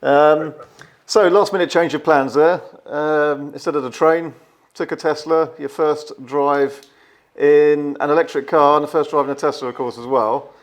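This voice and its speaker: A man's voice: a little high, steady tone, moderate pace. A man hosting a vlog, chatting casually and friendly with the driver about the trip. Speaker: vlog host. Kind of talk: casual interview chat.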